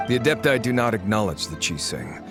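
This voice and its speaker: An actor playing a wary, sardonic faction agent in a video game, formal and slightly scornful. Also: male voice, fairly low pitch, big pitch swings, brisk. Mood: wary, urgent. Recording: music behind the voice. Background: game music.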